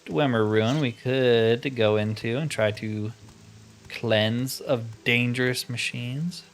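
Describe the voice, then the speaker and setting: A man's voice: mid-range voice, fairly even intonation, slow, faint music behind. A man giving casual gameplay commentary on a fantasy roleplay game, musing aloud in a wry, conversational way.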